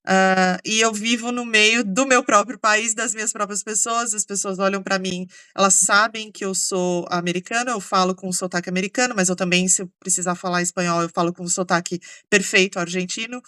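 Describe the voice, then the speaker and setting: A woman with a Brazilian accent hosting a relaxed chat and telling a story with many fillers. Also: mid-range voice, brisk, hardly any pauses, no room sound, studio quality. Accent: Brazilian Portuguese. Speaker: host. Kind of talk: casual conversation.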